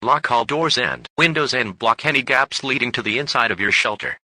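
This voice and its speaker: Male emergency alert announcer, synthetic delivery, forceful bright tone, bone-dry sound, formal script. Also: medium pitch, lively intonation, a little fast, no echo.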